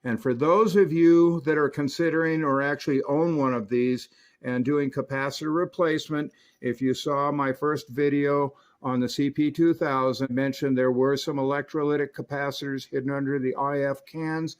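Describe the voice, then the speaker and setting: A man presents an equipment review and demonstration at a medium pitch. He explains technical details step by step, in a measured, explanatory manner, like a host walking viewers through a product. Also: fairly even intonation.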